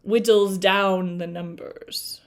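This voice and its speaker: A woman voicing a huge, stern male sphinx in a storybook reading, with a low, rough, staccato voice and a slow, solemn delivery. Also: steady tone. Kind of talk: storybook read-aloud.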